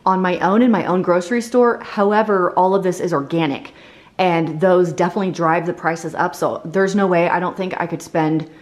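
A woman in her thirties giving a casual, candid review at home, chatty and quick to laugh at herself, filmed by a camera on the counter in her kitchen. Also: lowish voice, steady tone. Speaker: vlogger. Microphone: camera on a counter at medium distance. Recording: echoey kitchen room.

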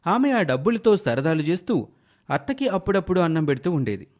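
A man delivers scripted story narration. His voice sits a little high and swings widely in pitch, giving the tale a dramatic, animated feel. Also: brisk.

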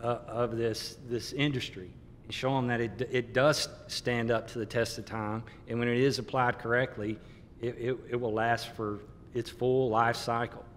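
A man's voice: level tone, moderate pace. A middle-aged man, a contractor on a conference panel, speaking in an emphatic, plain-spoken way. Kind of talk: panel discussion. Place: conference hall stage panel. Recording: reverberant conference hall, faint rumble.

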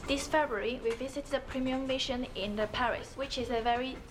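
A woman's voice: sits high, steady tone, a little fast. A woman in her twenties, a student presenting a scripted promotional piece. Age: 20s. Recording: clearly noisy room ambience.

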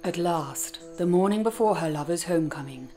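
A woman playing a grandmother in an audio drama, telling an old-fashioned tale in a hushed, measured manner. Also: lowish voice, over loud music, natural voice. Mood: ominous storytelling. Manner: hushed, measured, archaic storytelling.

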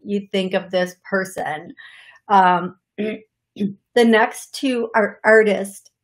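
A middle-aged woman with a raspy voice from allergies, hosting her psychic medium show in a chatty, apologetic way from her living room. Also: medium pitch. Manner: chatty, apologetic, laughs often, gestures with hands. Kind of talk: solo livestream talk to viewers. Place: living room, seated on leather couch.

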